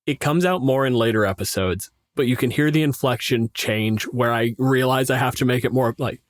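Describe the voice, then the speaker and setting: Young man, mid-pitched voice, casual co-host banter, self-deprecating storyteller, dry recording. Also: normal speed, dry recording. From Canada. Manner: self-deprecating, sweary, animated storytelling with quoted asides. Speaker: podcast co-host and game master. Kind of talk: casual podcast chat.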